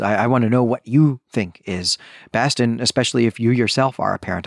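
A man's voice: mid-range voice, dry recording, studio quality. A middle-aged American man from the Chicago suburbs hosting a book podcast, talking through a review in an enthusiastic, discursive, conversational way. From suburb of Chicago, US Midwest. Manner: enthusiastic, discursive, often checks in with "right?".

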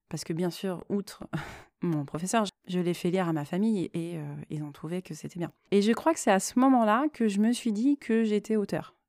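Mellow-voiced woman, dry sound. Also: medium pitch, no echo. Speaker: podcast host and author. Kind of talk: reflective solo podcast talk.

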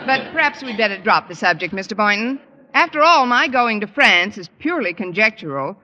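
An American woman playing a wry, quick-witted schoolteacher with a dry, sardonic delivery in 1950s radio sitcom style. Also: mid-range voice, sing-song, sharp tone, muffled recording. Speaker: lead actress and narrator.

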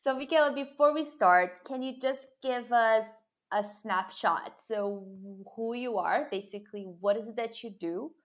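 A woman hosting a podcast interview, asking questions in a friendly, measured way with pauses, recorded remotely. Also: fairly high pitch. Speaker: podcast host. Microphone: remote call recording.

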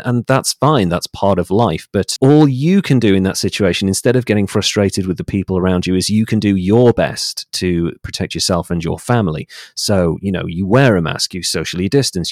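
A British man speaking in a measured, articulate way as a guest in a reflective interview conversation. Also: deep voice, a little mellow, no echo, studio quality. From United Kingdom. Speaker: podcast guest, writer and voice-over artist.

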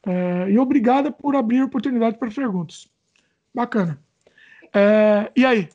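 A woman's voice: on the high side, moderate pace, mellow, muffled recording. A woman with a Brazilian accent hosting a casual chat and reading viewer questions, stumbling over words and joking about her age. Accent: Brazilian Portuguese.

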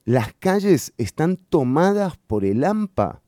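Radio host, male; slow, emphatic opinion delivery, dry studio sound. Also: fairly high pitch, sing-song, dark tone, no room sound. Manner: emphatic, repeats key phrases for stress. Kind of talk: political opinion commentary.

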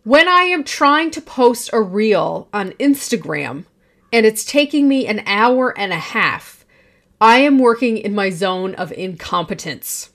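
A woman's voice: mid-range voice, big pitch swings, unhurried, fairly bright tone. A woman in her forties hosting a solo podcast, talking in a confident, projecting and emphatic way. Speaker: podcast host.

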